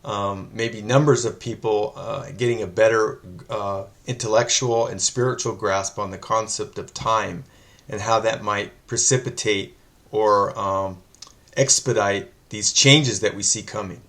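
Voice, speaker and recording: A man's voice: lowish voice, fairly even intonation, a little slow, a bit bright. A man hosting an interview, chatting casually with lots of hesitations and the odd joke. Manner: rambling, many fillers, joking. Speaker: interview host.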